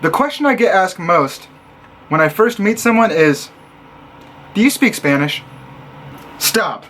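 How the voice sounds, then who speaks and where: A young man from Illinois, a college student, telling stories in a casual vlog with animated sarcasm. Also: sits high, unhurried. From Illinois, USA. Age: young adult. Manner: animated and sarcastic, acting out others' lines.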